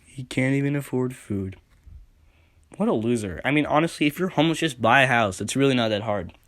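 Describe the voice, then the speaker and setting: A man's voice: mid-range voice, animated, fairly quick, fairly bright tone, dry recording, studio quality. A man narrating a scripted comic story for a homemade radio show.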